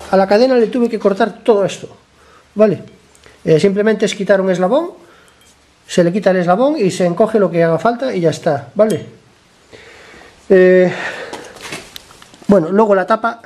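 A man with a high-pitched, slightly hoarse voice, worn by a cold, chats through a motorcycle repair in his garage. He talks to the camera as he works, explains each step in a loose, conversational way, and keeps checking that viewers are following. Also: a little mellow. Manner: chatty, explains step by step, keeps asking ¿vale?. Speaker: motorcycle mechanic vlogger. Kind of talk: casual workshop tutorial.

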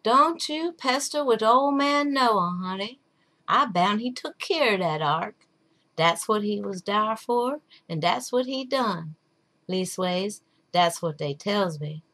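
A woman's voice: medium pitch, lively intonation, takes it slowly, pushed and bright, heavily acted. A woman voicing a patient, wry old man telling a folktale in drawling, folksy dialect. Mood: gently scolding.

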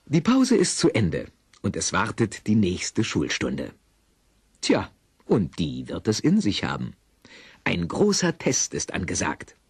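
A man's voice: low-pitched, huge pitch swings, takes it slowly, slightly rough, dry recording, clean studio sound. A man narrating a children's audio drama with theatrical, wry storytelling.

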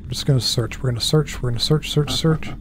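A man in his thirties with a headset, chatting and joking to himself through a horror game, mocking his own mistakes and jumping up in pitch when something startles him. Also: quick pace, over music. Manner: chatty, self-mocking, jumpy pitch swings. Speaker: gaming channel host. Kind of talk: horror game let's play commentary. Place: small dark room at a desk. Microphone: headset with desk mic.

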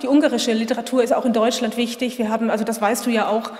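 A woman's voice: a little high, little pitch movement, quick pace. A woman in her forties moderating a literary reading, talking loosely with plenty of fillers. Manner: warm, chatty, many fillers. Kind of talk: festival reading and interview. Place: gallery room at a literature festival. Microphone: table mic on a stand. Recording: echoey hall.

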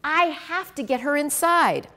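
A decisive storybook frog newswoman, voiced by a woman reader. She sounds concerned and emphatic, with a high, animated delivery. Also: a little slow, full character voice. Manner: urgent, emphatic.

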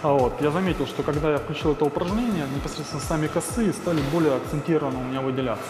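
A man in his thirties, a fitness trainer, explaining ab exercises in a gym on a lapel mic, pausing with fillers. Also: fairly high pitch. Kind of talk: gym workout tutorial. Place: large indoor gym. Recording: echoey gym with music behind.

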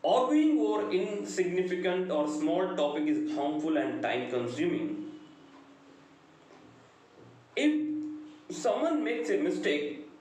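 A middle-aged man with a South Indian accent giving an explanatory lecture in a deliberate, emphatic, sing-song delivery. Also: high-pitched, big pitch swings, darkish tone. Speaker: English lecturer. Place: small indoor room. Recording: very echoey room, faint noise.

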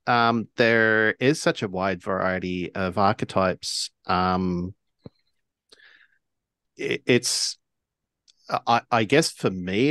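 A man hosting a podcast, asking long questions with many pauses and fillers. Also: on the low side, a bit bright, dry recording, studio quality. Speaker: podcast host. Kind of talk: casual podcast conversation.

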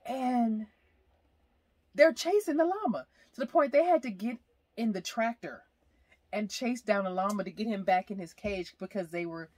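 Woman, forties, husky tone, animated storyteller, chatty vlog inside an RV. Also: medium pitch, moderate pace, no echo. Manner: animated storyteller, laughs often. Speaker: vlogger. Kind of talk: casual storytelling chat. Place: inside a parked RV by a window. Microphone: camera at arm's length.

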